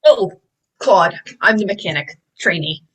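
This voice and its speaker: Husky, bright-voiced woman as nervous young trainee. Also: mid-range voice, wildly swooping pitch, heavily acted. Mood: earnest. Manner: hesitant, halting. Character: nervous, awestruck young male mechanic trainee. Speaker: table read performer. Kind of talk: amateur drama table read. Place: online video call table read.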